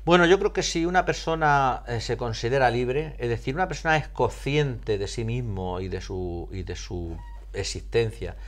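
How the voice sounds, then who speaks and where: Man explaining in a halting, questioning way. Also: medium pitch, expressive. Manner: didactic, poses and repeats rhetorical questions; halting, searches for words. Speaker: psychologist being interviewed. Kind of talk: explanatory interview answers.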